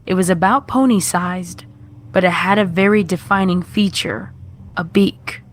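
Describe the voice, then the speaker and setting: A woman's voice: on the low side, steady tone, a little slow, a little hiss or hum. A woman narrator reading a scripted horror story.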